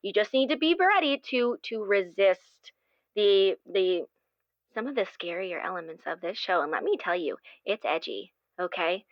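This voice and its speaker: A woman playing an earnest, gushing playwright in an improvised audio drama, speaking in a halting, effusive way as if anxious to please. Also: medium pitch, lively intonation, no echo, lo-fi sound, close to an everyday voice. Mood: earnest, cautioning. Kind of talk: improvised actual-play interview acting.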